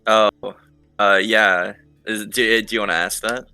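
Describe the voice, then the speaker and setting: A man with a low, very sharp-edged voice talks casually as a tabletop game player. The sound is dry, with faint music underneath. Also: fairly even intonation, a little slow, smooth, dry recording. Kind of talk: casual chat.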